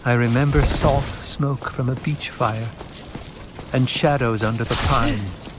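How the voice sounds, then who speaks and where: A man voicing a tender, anxious mother in an audiobook, speaking in a gentle and careful way. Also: mid-range voice, fairly even intonation, measured pace, background noise, pitched above the performer's own voice, close to an everyday voice. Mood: wistful.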